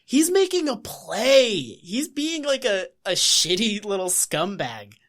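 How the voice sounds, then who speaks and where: A woman's voice: mid-range voice, very animated, slow. A woman co-hosting a casual comedy podcast, swearing freely and mocking characters. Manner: profane, acts out characters in quotes. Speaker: podcast co-host.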